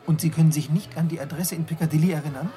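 An actor playing an earnest, polite young English solicitor who speaks precisely in a radio drama. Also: male voice, fairly high pitch, steady tone, very fast, mellow, faint music behind, natural voice. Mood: polite, probing. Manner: courteous, probing, precise. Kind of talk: German radio drama acting.

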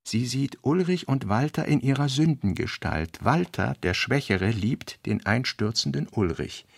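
Male narrator, scripted literary reading, gravelly, dry close sound. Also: mid-range voice, lively intonation, a little slow, no echo.

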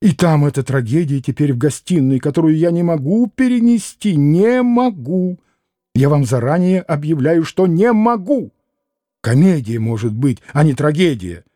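A man voicing a fussy, excitable middle-aged noble mother in a classic novel audiobook, gushing with theatrical exclamations. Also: fairly high pitch, moderate pace, no echo, studio quality. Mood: distraught.

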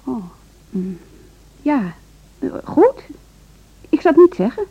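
An actress playing a careful, noncommittal female music teacher in a Dutch radio drama, halting and guarded. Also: high-pitched, sing-song, exaggerated voice. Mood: hesitant, guarded. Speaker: actor in radio play. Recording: dull, band-limited radio recording.

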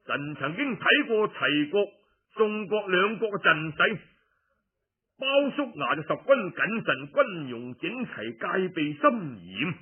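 A man's voice: high voice, very animated, slow pace, breathy edge, full character voice. A man with a Cantonese accent voicing a bold, confident nobleman advising his lord on battle in an audiobook, formal and emphatic. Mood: confident, strategic. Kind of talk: audiobook storytelling. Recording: muffled phone-like radio recording.

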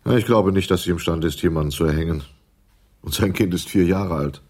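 Male witness, radio drama, deep, husky, thoughtful, deliberate short phrases. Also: darkish tone. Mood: reflective. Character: thoughtful male witness answering police questions.

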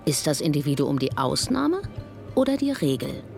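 A woman's voice: low voice, sing-song, moderate pace, gravelly, music in the background, heavily acted. A woman playing a probing, philosophical chorus voice in a poetic radio play, speaking in clipped aphorisms. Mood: probing, intense. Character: questioning, philosophical female voice of a chorus.

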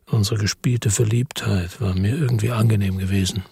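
An actor playing a world-weary police chief, narrating in a slow, measured, tired way in a radio drama. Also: male voice, on the low side, fairly even intonation, vocal fry, mellow, breathy edge, no echo, studio quality, exaggerated voice. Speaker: first-person narrator.

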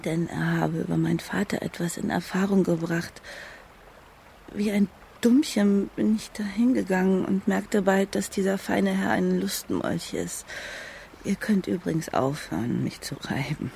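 An actress playing a blunt, spirited young puppeteer's daughter in a historical crime radio drama. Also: female voice, fairly low pitch, measured pace, creaky, warm tone, a little hiss or hum. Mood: frank. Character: feisty, plain-spoken young woman, a puppeteer's daughter.

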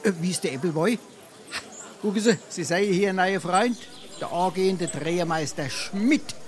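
A man playing a cheeky, smooth-talking penniless sponger in Hessian dialect in a stage comedy. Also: sits high, normal speed, natural voice. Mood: jovial, flattering. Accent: Hessian, Darmstadt dialect.

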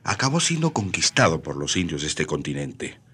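A man's voice: very deep voice, big pitch swings, moderate pace, pushed and bright, a little hiss or hum. A man hosting a radio program, reading scripted narration in a declamatory presenter's style.

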